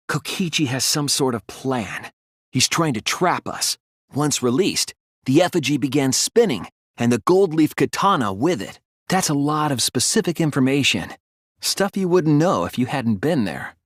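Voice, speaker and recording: A man voicing an earnest young male detective in a video game, thoughtful and anguished but resolute, with deliberate, analytical phrasing. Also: medium pitch, breathy edge, no room sound, studio quality. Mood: urgent, determined. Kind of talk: dramatic video game voice acting, deductive explanation.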